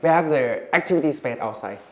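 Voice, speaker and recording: Man, Thai accent, mid-pitched voice, casual rambling explanations. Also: animated, a little fast, a little hiss or hum. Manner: loose, filler-heavy explaining. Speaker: co-host of a house tour channel. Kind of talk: casual house tour chat.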